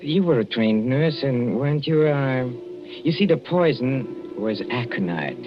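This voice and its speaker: An actor playing a jealous husband in an old radio suspense drama, sardonic and hesitant, with mock affection and menace. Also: male voice, medium pitch, expressive, unhurried, over music, natural voice. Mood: gloating menace. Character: jealous, menacing husband, coolly mocking as he reveals poisoning. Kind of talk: 1940s radio suspense acting. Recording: noisy muffled old radio recording.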